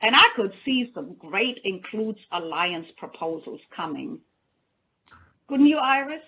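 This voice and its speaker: A woman's voice: mid-range voice, a little slow. A woman with a German accent, speaking animatedly on a panel about funding and education, often restarting her sentences. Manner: animated, talks around ideas with restarts. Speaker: funding program officer. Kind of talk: panel discussion on a video call. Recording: tinny phone-like line.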